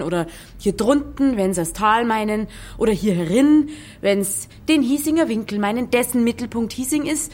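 A woman playing a stubborn, quick-witted young village innkeeper, speaking pointed Bavarian dialect in a drama. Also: on the high side, close to an everyday voice. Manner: feisty, pointed dialect talk.

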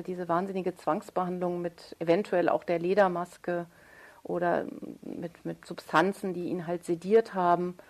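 A woman's voice: on the low side, a little mellow. A woman speaking as an interviewed author in a thoughtful conversation, searching for her phrasing and correcting herself.

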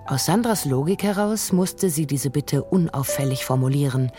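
A narrator in a radio drama, reading literary prose in a formal, measured, analytical way. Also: medium pitch, expressive, lightly acted. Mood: detached, analytical. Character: detached, analytical narrator of a relationship's decline. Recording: faint music behind.

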